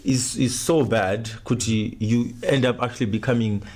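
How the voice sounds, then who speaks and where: A man's voice: medium pitch, steady tone, normal speed, darkish tone. A young man, hosting a casual podcast chat and rambling through his points, slipping into Shona phrases. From Zimbabwe. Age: young adult. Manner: rambling, restarts, switches into Shona mid-sentence. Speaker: podcast host.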